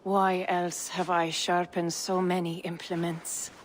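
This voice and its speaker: An actress playing a vengeful, menacing torturer in a video game, deliberate and coldly relishing revenge. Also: female voice, on the low side, level tone, a little hiss or hum. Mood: cold menace. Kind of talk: video game cutscene acting.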